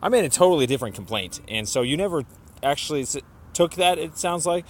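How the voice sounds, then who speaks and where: Halting, insistent man over background noise. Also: fairly high pitch, animated. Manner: halting, insistent, repeats himself. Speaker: caller leaving a complaint voicemail. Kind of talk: spoken voicemail complaint, argumentative explanation.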